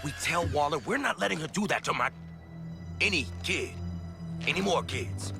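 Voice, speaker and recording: A man's voice: fairly high pitch, expressive, normal speed, creaky, music in the background. An actor playing a protective, indignant father and hardened fighter in a video game, protesting emphatically. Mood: protective, firm. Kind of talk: video game cutscene acting.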